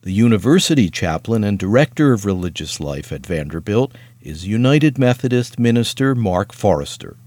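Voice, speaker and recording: A man reading scripted narration for a broadcast feature, in a crisp delivery. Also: fairly low pitch, very animated, normal speed, hardly any pauses, darkish tone, dry recording, clean studio sound. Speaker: radio narrator.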